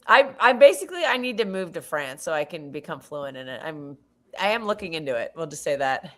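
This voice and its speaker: Chatty American woman with lively pitch. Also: brisk. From United States. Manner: chatty, frequent restarts and swearing. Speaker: co-host of a live football watch-along stream. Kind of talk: casual chat.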